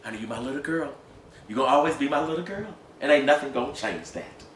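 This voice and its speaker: A man acts out a middle-aged rural father who is furious and heartbroken, barking out his words in rough dialect. His voice is raised, bright and forceful, and the reading is caught in an echoey room with a faint hiss. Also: mid-range voice, animated, fast, voice pushed up, close to an everyday voice. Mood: disbelieving. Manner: loud, harsh, shouting in rough dialect.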